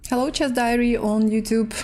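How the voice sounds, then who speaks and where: A woman with a Russian accent, a native Russian speaker, hosting a casual chess livestream in halting, hesitant English. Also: on the high side, fairly even intonation, mellow, soft background music. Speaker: livestream host and chess player. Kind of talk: casual livestream chat while playing chess.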